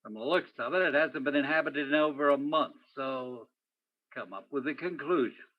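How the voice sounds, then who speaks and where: An actor playing a terse police detective in an old-time radio crime drama, narrating and questioning in clipped, theatrical phrases. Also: male voice, mid-range voice, moderate pace, phone-quality sound, close to an everyday voice. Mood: brisk, urgent. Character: terse male police detective narrating his own case.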